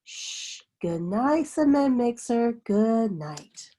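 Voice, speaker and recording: A woman reading a children's picture book aloud like a teacher, with an expressive, sing-song bedtime delivery. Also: on the high side, takes it slowly.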